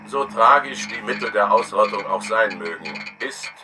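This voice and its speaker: An actor playing a cold, implacable official who reads out a government dispatch sternly and deliberately in a radio play. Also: male voice, lowish voice, animated, close to an everyday voice. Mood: cold, emphatic. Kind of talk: declamatory document reading in radio play. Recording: loud music bed over the voice.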